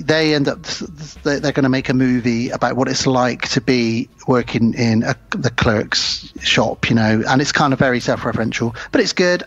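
Male co-host, casual rambling film chat, mid-pitched, slightly lo-fi. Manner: rambling, enthusiastic, many ums. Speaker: podcast co-host.

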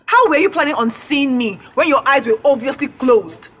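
A haughty young Nigerian student played by a woman in an audio drama. Her voice is fairly high, and she speaks with sarcastic, confrontational bite. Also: lively intonation, moderate pace, a bit bright. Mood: indignant. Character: haughty, sharp-tongued young female student.

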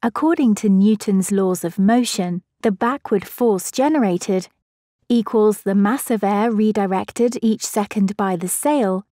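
A woman giving a measured, scripted narration for an educational physics video. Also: mid-range voice, dark tone, no room sound, clean studio sound.

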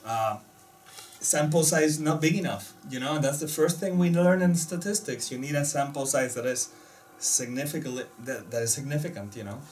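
A man's voice: a little high, moderate pace, a little mellow. A man in his thirties co-hosting a casual discussion in an agreeable, affirming manner. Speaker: co-host. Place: small indoor home theater room. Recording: distant mic, faint room noise.

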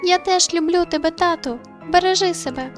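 A woman's voice: very high voice, normal speed, faint music behind, pitched above the performer's own voice. A woman voicing the ghost of a young girl, a tender, sorrowful daughter speaking plaintively in a visual novel. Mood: tender, sad. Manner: plaintive, earnest. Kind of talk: visual novel read-aloud.

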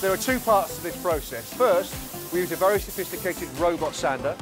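A man in his forties with a slightly raised pitch walks viewers through a big factory, explaining steps clearly and evenly. His voice is recorded close on a lapel mic, with clear music running underneath. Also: a little fast. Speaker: factory guide. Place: large factory floor, indoors.

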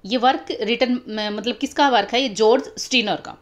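A woman's voice: a little high, normal speed. A young woman, explaining exam questions step by step, repeating key terms. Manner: explanatory, repeats key terms, mixes English titles into Hindi. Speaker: exam-prep teacher. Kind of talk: explaining exam questions over annotated screen.

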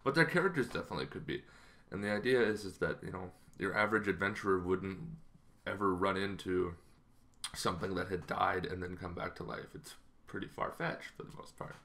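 Low-voiced man chatting casually. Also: fairly quick, gravelly, fairly bright tone, slight background noise. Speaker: tabletop gaming video host. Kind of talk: casual explanatory vlog. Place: small bedroom at home, indoors.

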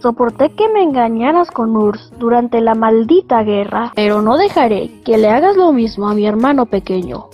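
Mujer haciendo de estadounidense amenazante y dominante. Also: female voice, a little high, lively intonation, normal speed, few pauses, lightly acted. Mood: angry, threatening. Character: menacing, jealous, domineering American man. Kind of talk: fandub comic voice acting. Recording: dull lo-fi audio over music.

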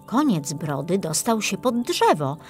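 A woman reads a children's fairy tale. Her delivery is unhurried and very melodic, in a medium-pitched voice, with faint music underneath. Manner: expressive storytelling. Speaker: audiobook narrator.

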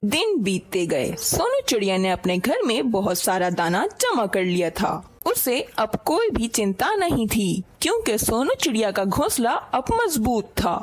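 A woman's voice: a little high, big pitch swings, measured pace, hardly any pauses. A woman narrating a scripted children's story as its storyteller.

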